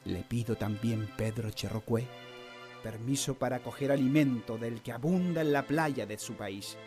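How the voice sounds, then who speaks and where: A man playing a courteous Spanish sea officer from the colonial age in a radio drama. He speaks in a mid-pitched voice, formally and with an archaic, declamatory turn. Faint music sits behind him. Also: normal speed, darkish tone, lightly acted. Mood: solemn, proclaiming. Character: courteous, formal eighteenth-century Spanish naval explorer.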